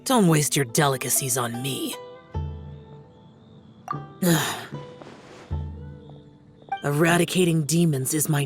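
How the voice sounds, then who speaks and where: An actor playing an aloof, solemn male demon-slaying warrior who speaks tersely and formally. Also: on the high side. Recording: game dub with background music.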